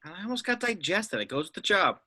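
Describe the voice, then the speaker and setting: Brief: man as seasoned secret agent, weary and deadpan, few words, crisp forward tone, home script read. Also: high-pitched, brisk, full character voice. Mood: dry. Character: terse, weary veteran male secret agent. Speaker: amateur actor in a table read.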